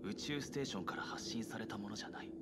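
A man's voice: on the low side, quick pace, noisy background, lightly acted. Man as cool, terse young anime man. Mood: cool, focused. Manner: terse, matter-of-fact. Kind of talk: anime game voice acting.

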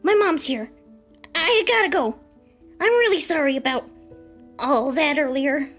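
A woman's voice: sits high, a bit bright, soft background music. A woman voicing a jittery, paranoid teenage boy in a fan comic dub, talking in a frantic, shaky rush. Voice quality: frantic, shaky, rapid worrying.

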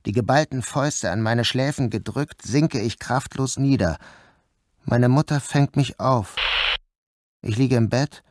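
A man's voice: medium pitch, a little slow, a little mellow, dry recording, studio quality, close to an everyday voice. A man acting a troubled, bewildered son who narrates in a literary first-person radio drama. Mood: dreamlike, brooding. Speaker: narrator and protagonist.

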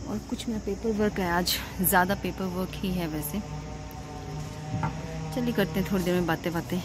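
A woman's voice: lowish voice, a little fast, music in the background, rough recording. A young woman with an Indian accent, chatting casually to camera in a vlog as a startup founder, mixing Hindi and English. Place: outdoor garden cafe. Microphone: phone camera held by hand. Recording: outdoor phone audio with background noise.

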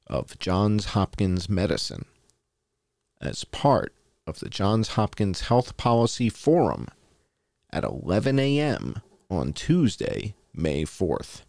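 A man's voice: low-pitched, vocal fry, a little mellow, no echo, studio quality. A man hosting a show, reading a published article aloud as narration.

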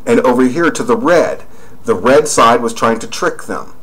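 A man's voice: mid-range voice, lively intonation, moderate pace, a bit bright, rough recording. A man narrating over screen images, rambling and pointing out details as he goes. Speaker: video narrator.

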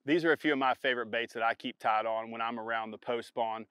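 A middle-aged man, a professional bass angler, explains his fishing setups to camera outdoors in the woods in a confident, emphatic way, stressing and repeating his key points. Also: steady tone, fast, bright, forceful tone, clean tone, dry recording. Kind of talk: instructional product demo to camera. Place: outdoors in a spring woodland.

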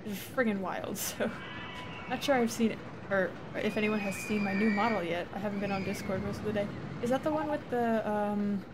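A woman livestreaming a game, chatting casually, laughing often and swearing freely, her voice a little hoarse. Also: medium pitch, fairly quick. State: slightly hoarse. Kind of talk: casual gameplay chat. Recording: noisy home stream recording.

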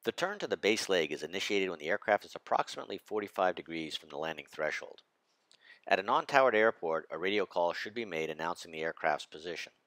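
Man, very deep voice, flight instructor, measured narration, dry studio sound. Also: fairly bright tone, no echo. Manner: measured, precise instructional delivery. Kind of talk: scripted narration over slides.

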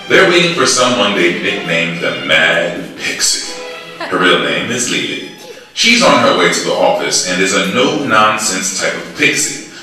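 A man's voice: sits low, bright, forceful tone. A man giving theatrical storybook narration as the narrator of a stage play. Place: small theatre stage, music behind. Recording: echoey stage recording from far off.

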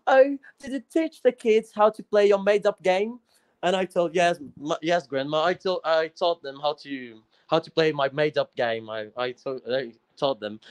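A Brazilian man, a Portuguese speaker, calling in over a video call and telling a playful story in casual chat. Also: a little high. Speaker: caller.

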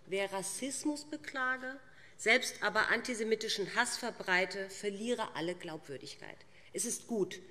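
Middle-aged female politician, medium pitch, formal and emphatic podium delivery, long hall reverb. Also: pushed and bright. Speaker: parliamentary speaker for a party group. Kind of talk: formal prepared political speech. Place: large indoor parliament chamber. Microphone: lectern microphone.

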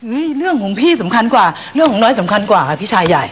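An actress playing an indignant, spoiled younger sister who whines and shouts at her big brother. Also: mid-range voice, sing-song, very fast, background noise, close to an everyday voice. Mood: tattling. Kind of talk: sitcom acting.

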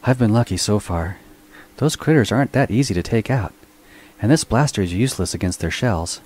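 A man voicing a sharp-tongued woman bounty hunter with a blunt, mocking manner. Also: on the low side, expressive, brisk, pitched above the performer's own voice. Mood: businesslike.

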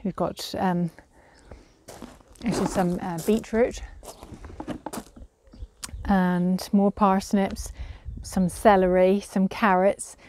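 A woman's voice: medium pitch, level tone, extremely slow, pauses often, a little mellow, a little hiss or hum. A woman in her thirties giving a casual walk-through tour of her home garden and greenhouse, chatty and hesitant with many fillers, recorded outdoors on a camera mic while she walks.

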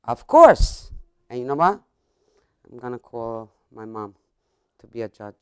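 A woman's voice: a bass voice, big pitch swings, a little slow, clear, resonant tone, no room sound. An older woman with an Italian accent, chatting casually while cooking, emphatic and prone to repeating herself. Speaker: cooking show co-host. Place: home-style kitchen, indoors.

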